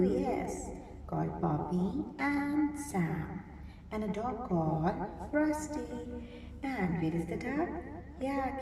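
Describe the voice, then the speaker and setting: A woman reading a picture book aloud to young children, expressively, pausing to think aloud and ask them simple questions. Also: on the high side. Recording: roomy, distant mic, clear background noise.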